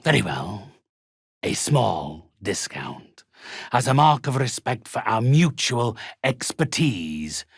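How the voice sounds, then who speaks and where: Creepy undead necromancer from a game, super slow n gravelly, husky, posh-formal, politely menacing. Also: male voice, mid-range voice, very animated, no echo, studio quality, exaggerated voice. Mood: haughty, formal. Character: sinister, haughty undead male necromancer trading in spirits. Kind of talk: scripted video game character acting.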